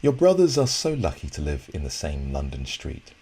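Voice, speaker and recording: A man voicing a gentle, reflective woman in her thirties in a children's storybook. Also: rumbling low, wildly swooping pitch, normal speed, slightly rough, pitched below the performer's own voice, full character voice. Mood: light, warm. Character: Josephine, gentle adult woman in her thirties, settled expatriate.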